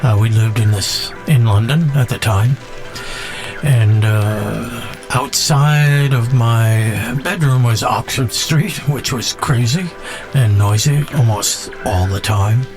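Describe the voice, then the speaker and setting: A man speaks as a podcast host in an unscripted, reflective monologue. His delivery is halting and musing, with words repeated for emphasis, and prominent music plays along behind his voice. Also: mid-range voice.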